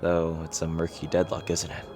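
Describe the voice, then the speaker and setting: A man playing an earnest, lovestruck rival king in an intimate romantic audio roleplay, speaking haltingly and hesitantly. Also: low voice, completely flat delivery, music in the background. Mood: relieved, wry.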